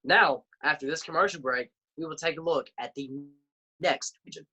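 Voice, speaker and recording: A man's voice: fairly high pitch, normal speed, pushed and bright, slightly rough. A man hosting a mock sports selection show in a presenter's style, handing over to correspondents. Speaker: host.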